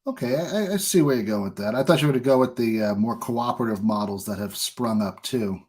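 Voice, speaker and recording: A man's voice: mid-range voice, fast, darkish tone, slightly rough. An American man hosting a podcast, chatting casually and offering his own opinions in an informal way. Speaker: podcast host. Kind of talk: casual conversational interview.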